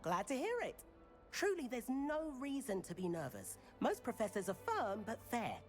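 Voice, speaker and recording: A man's voice: very high voice, huge pitch swings, normal speed, faint music behind, full character voice. A man playing a cheeky, easygoing teenage boy prankster at a wizard school in a game, playful and breezy. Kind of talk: scripted game dialogue.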